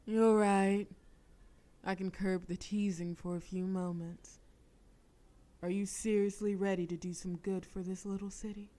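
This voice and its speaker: A bold, teasing female crime boss, voiced by a woman in an audio roleplay. Her delivery is unhurried and mellow. She flirts and jabs playfully. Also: medium pitch, little pitch movement. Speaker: voice actress.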